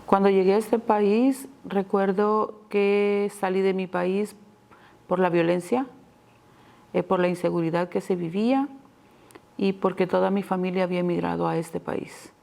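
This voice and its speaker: Salvadoran woman, 50s, interviewee; gravelly, monotone, earnest testimony. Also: mid-range voice, measured pace.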